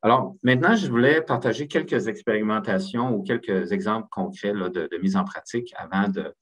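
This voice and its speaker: Male, 50s, Québécois accent, lowish voice, thoughtful seminar speaker with halting, measured phrasing. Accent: Québécois French. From Quebec, Canada. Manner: hesitant, measured delivery with repeated words. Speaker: health agency executive presenting a seminar. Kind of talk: academic seminar presentation, semi-spontaneous.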